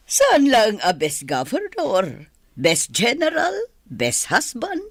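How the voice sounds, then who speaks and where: A woman's voice: mid-range voice, huge pitch swings, very slow, no room sound, clean studio sound, full character voice. A woman acting a proud, teasing wife in a radio drama, drawing out her words playfully.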